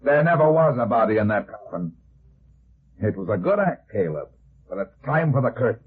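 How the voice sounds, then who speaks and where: An actor playing a wry, hard-boiled, heavyset private detective, acting and narrating a 1950s radio drama on a muffled vintage recording. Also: male voice, medium pitch, sing-song, moderate pace, breathy edge. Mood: firm, accusing.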